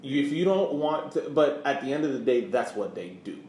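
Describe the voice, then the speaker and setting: Mid-pitched man co-hosting, roomy echo. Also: animated, moderate pace, a little mellow. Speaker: podcast co-host. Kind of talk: casual sports podcast chat. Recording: roomy echo, background noise.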